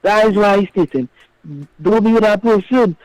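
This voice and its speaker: Booming Trinidadian man, high and dry. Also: normal speed, no room sound, poor audio. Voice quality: loud big voice. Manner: emphatic, insistent. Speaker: co-host. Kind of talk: casual radio chat.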